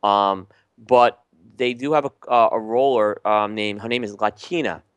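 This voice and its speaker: A man's voice: fairly low pitch, a little slow, clear, resonant tone, dry recording. A man co-hosting a casual podcast, talking at ease with frequent ums and restarts. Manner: relaxed, many ums and restarts. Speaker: podcast co-host. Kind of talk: casual chat reviewing cigars.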